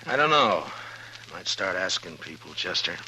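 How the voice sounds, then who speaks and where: An actor playing a steady, concerned lawman in an old-time radio western, plainspoken and quietly authoritative. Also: low-pitched, moderate pace, full character voice. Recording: noisy vintage radio recording.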